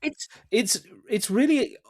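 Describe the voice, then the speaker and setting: A man talking casually as an interview guest, thinking aloud in halting, repeated phrases. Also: very high-pitched, no echo, studio quality. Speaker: writer-director, podcast guest.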